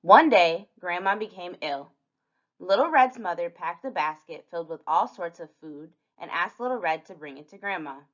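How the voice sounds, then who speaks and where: A woman reading a children's storybook aloud in animated narration, on a slightly dull recording. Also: lowish voice, moderate pace. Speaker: storybook reader.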